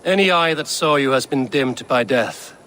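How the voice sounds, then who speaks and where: An actor playing a bold, determined young Viking leader in a video game, resolute and formal in speech. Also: male voice, mid-range voice, animated, moderate pace, fairly bright tone, slight background noise, natural voice. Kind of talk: dramatic video game acting.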